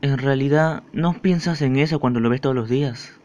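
A man's voice: mid-range voice, normal speed, a little mellow, lightly acted. A man playing an earnest teenage schoolboy in a fan-dubbed anime. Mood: soft, reflective. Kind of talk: anime fandub acting. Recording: dull lo-fi audio with music behind. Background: music.

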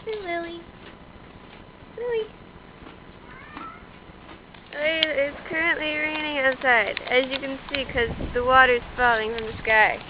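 A woman's voice: high-pitched, a little slow, pauses often. A teenage girl vlogging in a horse stable, cooing to the horses in a whiny baby-talk voice, filmed on a handheld camera. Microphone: handheld camera close up. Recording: muffled lo-fi camera audio with loud noise.

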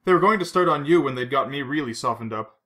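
A man's voice: mid-range voice, expressive, fast, fairly bright tone, natural voice. A man reading aloud and voicing an injured male secret agent who talks in a clipped, reassuring, dryly wry way.

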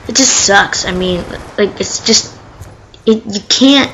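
A woman with a sniffly head cold talking her way through a shooter match, chatty and excitable, yelping when a fight heats up. Her voice sits at an ordinary middle pitch, with faint gunfire and game noise behind her. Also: takes it slowly, low-quality recording. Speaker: gameplay commentator. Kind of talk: casual live gameplay commentary.

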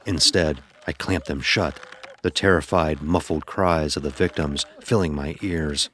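A man narrating a dramatic first-person horror story in a grave, measured manner. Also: very deep voice, fairly bright tone, slight background noise.

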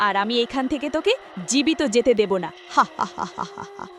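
High, swooping vengeful ghost woman voiced by an actress. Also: expressive, fairly quick, fairly bright tone, slight background noise. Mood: menacing. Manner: dramatic, accusing, menacing. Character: vengeful ghost of a jilted young woman, bitter and menacing. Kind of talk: melodramatic horror cartoon dialogue.

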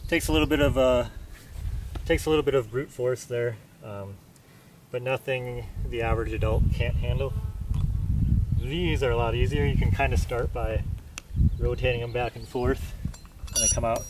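A man's voice: mid-range voice, fairly even intonation, normal speed, pauses often. A man testing camping hammers outdoors, explaining plainly and thinking aloud as he works. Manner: plain, matter-of-fact, thinking aloud while working. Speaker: gear reviewer. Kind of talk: casual product test. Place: outdoors on open dirt ground in pine woods. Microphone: camera mic at a distance. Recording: distant outdoor camera audio with faint noise.

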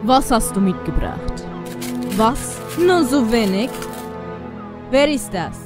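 An elegant, wicked lady who rules over captive children in a radio play. Her delivery is slow, commanding and mocking, with menace in it. Music plays clearly behind her. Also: fairly high pitch, sing-song. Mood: demanding.

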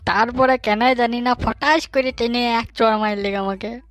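A man playing a hapless, cheeky young bachelor in a comic cartoon, in colloquial rural western West Bengal dialect with a pitched-up voice. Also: steady tone, measured pace, a bit bright, faint music behind. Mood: naive. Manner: colloquial, pleading, bantering.

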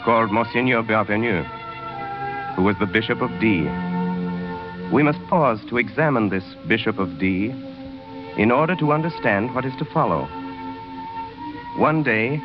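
An actor narrating a classic novel as a grave storyteller in a 1930s radio drama. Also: male voice, lowish voice, normal speed, long pauses, natural voice. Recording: muffled 1930s radio recording, music behind.

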